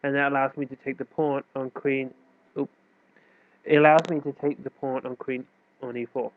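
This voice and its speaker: A man's voice: medium pitch, little pitch movement, normal speed. A man with a stuffy, nasal voice from a cold, explaining chess moves in a loose, self-correcting way. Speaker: chess teacher. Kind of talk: casual chess tutorial explanation. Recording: tinny, dry phone-like recording.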